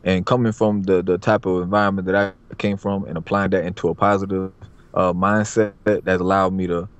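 A man's voice: deep voice, level tone, brisk, clear, resonant tone, no room sound. A man, a barber, chatting casually as a podcast guest in a streetwise way, repeating himself and asking "you know what I mean". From Florida, USA. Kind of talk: casual interview talk. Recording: dull lo-fi audio.

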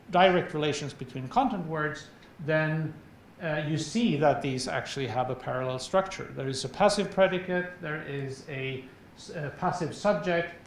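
A middle-aged man whose first language is Swedish, with a Swedish accent, giving an academic lecture in a measured, explanatory way with frequent filler pauses, on a lapel mic in a large lecture hall. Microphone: clip-on lapel mic. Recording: very echoey hall, faint rumble.

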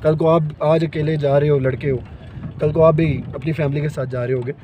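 A man's voice: fairly high pitch, steady tone, a little fast, highs cut off. A young man with a Pakistani accent chatting casually outdoors on a phone video, slipping into English phrases. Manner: slips between Urdu and English. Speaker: vlogger's companion. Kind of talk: casual vlog chat. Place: outdoors on a moving mountain chairlift. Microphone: handheld phone camera. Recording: outdoor phone recording, faint ambient noise.

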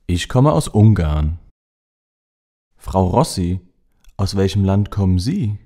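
A man with a very low, dark voice reads practice dialogues for learners. His reading is plain and measured. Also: expressive. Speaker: dialogue reader in a lesson.

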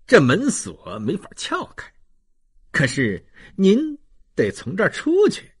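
A man's voice: a little high, huge pitch swings, takes it slowly, breathy edge, no room sound, clean studio sound, exaggerated voice. A man voicing a sly, scheming escaped convict with an insinuating manner in an audiobook. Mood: sly, bargaining.

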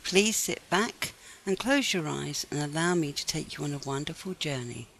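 A woman narrates a scripted guided meditation with a noticeably creaky edge to her voice. Her phrasing is measured and gently instructive. Faint to moderate background noise sits under the recording. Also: low-pitched, animated, low-quality recording.

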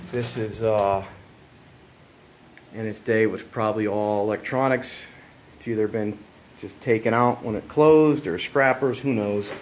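A man's voice: medium pitch, slight background noise. A man narrating a casual walking tour, marveling aloud and talking to viewers as he moves through an overgrown abandoned site outdoors. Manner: relaxed, marvels aloud, talks to viewers as he walks. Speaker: urban explorer vlogger.

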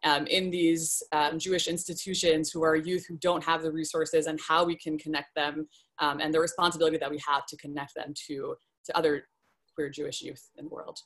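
A woman's voice: sits low, flat delivery, fairly quick. A young woman speaking casually on a video call panel from home, chatty and full of fillers. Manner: chatty, many ums and likes. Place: home room, indoors. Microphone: laptop webcam video call.

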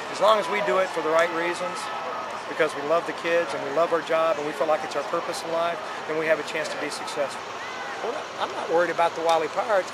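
A middle-aged American man, a football coach, talking earnestly in a postgame interview and often restarting his phrases. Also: sits high. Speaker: high school football coach. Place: outdoors at night by a stadium building. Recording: crowd chatter and loud outdoor field noise.

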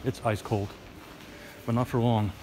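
A man's voice: medium pitch, level tone, measured pace. Middle-aged guy vlogging on his phone, chatty and grumbly, lots of background noise. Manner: chatty, grumbling asides while walking. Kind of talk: casual walking vlog. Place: outdoors on streets, then inside a shopping mall. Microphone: handheld phone camera. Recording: noisy handheld phone audio.

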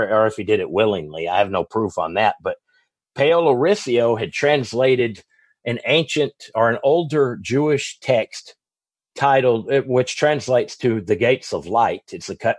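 A man's voice: on the low side, no echo. A man with a Texas accent, a guest author giving long, rambling answers in a podcast interview, often restarting and correcting himself. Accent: Texan.

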